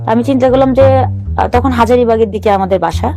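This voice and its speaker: A woman, telling her life story as a talk show guest in an earnest, halting way, often restarting her sentences and mixing in English words. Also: fairly high pitch, dark tone, faint music behind.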